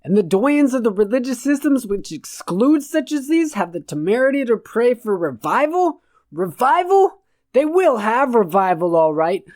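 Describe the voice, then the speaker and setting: A woman's voice: fairly high pitch, very animated, normal speed, darkish tone, no room sound, pitched above the performer's own voice. Woman playing Puck, an irreverent roguish preacher. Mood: preaching. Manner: mock-sermon declaiming, mischievous.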